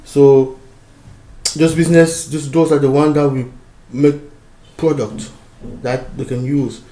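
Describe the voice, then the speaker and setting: A man from Cameroon lectures through a diagram in a halting, unscripted way, full of fillers and restarts. His pitch is mid-range for a man. The room gives his voice a noticeable echo. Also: steady tone, mellow. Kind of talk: informal lecture over a diagram.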